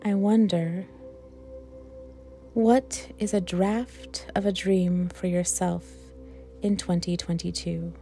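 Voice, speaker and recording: A woman leading a guided meditation for worship, speaking in a gentle, reflective manner with unhurried, pausing phrases. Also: fairly low pitch, steady tone, faint music behind. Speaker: worship leader guiding a meditation.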